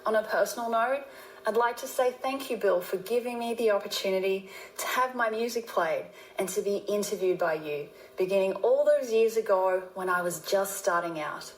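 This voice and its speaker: A woman's voice: medium pitch, normal speed, roomy sound, poor audio. A woman with an Australian accent, a singer-songwriter delivering a prepared tribute message.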